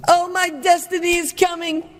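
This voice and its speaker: A woman's voice: very high-pitched, little pitch movement, normal speed. A woman voicing a small, scheming fairy villain in a cartoon, with a breezy, mocking way of talking. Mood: smug, unafraid. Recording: long reverb, music behind.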